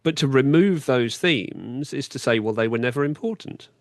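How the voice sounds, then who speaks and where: Man with a creaky, dry-recorded voice. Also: medium pitch, lively intonation, normal speed, no room sound. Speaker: podcast host, interviewer. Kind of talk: conversational interview.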